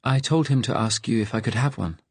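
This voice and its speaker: A man's voice: mid-range voice, brisk, creaky, breathy edge, no room sound, voice pushed up. A man narrating an audiobook, playing a nervous, timid, fragile fugitive with a hesitant, earnest, questioning manner. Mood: anxious. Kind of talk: audiobook character dialogue.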